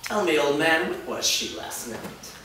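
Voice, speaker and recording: An actor playing a prissy, menacing henchman of a dark master in a staged fantasy reading, haughty, insinuating and deliberate. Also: fairly high pitch, big pitch swings. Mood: threatening. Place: indoor performance room before a small audience. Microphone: far camera. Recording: echoey hall, distant mic, noisy.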